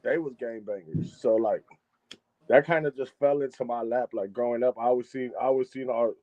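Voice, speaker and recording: A man's voice: medium pitch, steady tone, brisk, dry recording, phone-quality sound. A man, a music engineer talking casually as a guest on a live-streamed interview, often repeating and restarting his phrases. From Chicago, United States. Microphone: video call.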